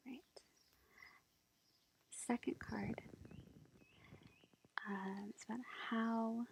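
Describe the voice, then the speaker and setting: A woman playing a sweet, gentle witch girlfriend, reading tarot in a soft, reassuring ASMR whisper. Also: medium pitch. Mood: pleased, musing. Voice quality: soft, breathy, creaky whisper. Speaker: ASMR roleplay performer. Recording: faint background noise, lo-fi.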